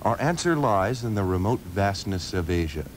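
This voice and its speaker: A portentous, mysterious radio narrator. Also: male voice, low voice, lively intonation. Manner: portentous, measured. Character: grave, mysterious narrator of occult adventure. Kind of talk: scripted dramatic radio narration, 1960s. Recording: old radio recording, faint background noise.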